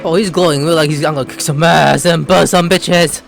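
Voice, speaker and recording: A man's voice: on the high side, fairly even intonation, moderate pace, gravelly. A man hosting a gaming video with casual, slangy, exclamatory commentary, stumbling a little when he reads aloud. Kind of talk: casual gameplay commentary with read-outs. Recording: faint game music behind the voice.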